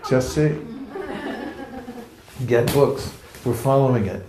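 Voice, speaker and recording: A playful man from California leads a seminar before a live audience, joking along and acting out little street encounters. His voice sits at an ordinary pitch. The echo of the room rings around every phrase. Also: slow, background noise. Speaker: seminar teacher. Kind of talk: lively seminar teaching. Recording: echoey room.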